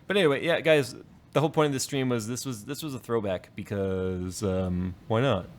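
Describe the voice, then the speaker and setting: A man's voice: medium pitch, a little fast. A man hosting a casual stream, telling comic stories with some swearing and acted-out dialogue. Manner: animated, profane, acts out dialogue. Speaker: tech YouTuber, stream host. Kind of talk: casual chat, comic storytelling.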